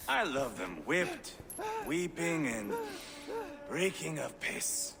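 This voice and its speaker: Sadistic Viking warrior, gravelly, wildly swooping pitch, savoring each taunt, video game villainy. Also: male voice, huge pitch swings, takes it slowly, over loud music, heavily acted. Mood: gloating. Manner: menacing, taunting, savoring words. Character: sadistic, swaggering Viking warrior son of Ragnar. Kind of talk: video game cutscene acting. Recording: game scene with clear background noise.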